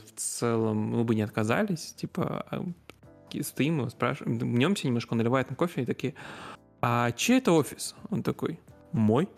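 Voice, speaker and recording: A man with a gravelly, fry-laden voice talks casually, like a podcast host. He hesitates and rephrases often as he builds his thought. Faint music plays behind him. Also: mid-range voice. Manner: hesitant, searching for words, with repeated self-corrections. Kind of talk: casual conversational storytelling.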